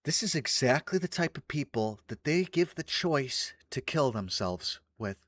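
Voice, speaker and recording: A man's voice: mid-range voice, lively intonation, unhurried, a bit bright, no room sound. Blunt ranting male commentator, dry sound. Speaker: commentator reacting to a video. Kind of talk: reaction commentary.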